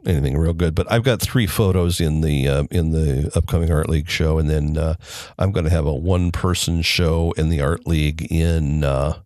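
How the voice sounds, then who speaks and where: A man's voice: very deep voice, steady tone, moderate pace, darkish tone, dry recording, clean studio sound. A man hosting his own unedited solo podcast from home, talking through many fillers and restarts. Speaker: podcast host. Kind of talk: unscripted solo podcast talk. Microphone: close desk mic.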